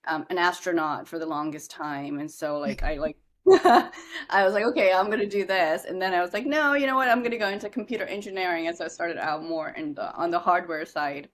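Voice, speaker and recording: A young woman from India, guest on a podcast, speaks with a notably low, deep voice. She talks in a loose, chatty way, full of "um"s and false starts. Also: a little fast. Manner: chatty, many fillers and restarts. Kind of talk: casual podcast interview.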